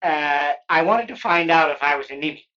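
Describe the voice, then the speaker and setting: Wry screwball wisecracker, sharp forceful voice, deadpan, 1930s film comedy. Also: female voice, sits low, brisk, lo-fi sound. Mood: dry, wry.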